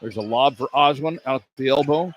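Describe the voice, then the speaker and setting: A man's voice: medium pitch, normal speed. An American man doing live radio play-by-play of a basketball game, speaking in clipped running phrases that follow the action. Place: courtside in an indoor arena. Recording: clear background noise, live arena broadcast.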